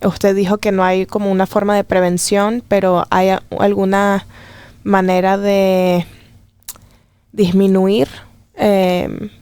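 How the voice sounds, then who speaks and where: A woman's voice: mid-range voice, level tone, slow pace, a little mellow, clear, resonant tone, no echo. A woman hosting a podcast interview, asking careful, polite questions with brief affirmations. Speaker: podcast host.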